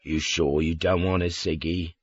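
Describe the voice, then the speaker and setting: A man voicing a huge, gentle-mannered male henchman who speaks in a slow, soothing, kindly way. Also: deep voice, fairly even intonation, breathy edge, no echo, lo-fi sound, pitched below the performer's own voice.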